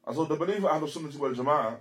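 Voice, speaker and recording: A man giving a religious lecture as a teacher, speaking deliberately and emphatically in short, repeated phrases and switching into Arabic quotations. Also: a little high. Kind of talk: religious lecture explaining a text.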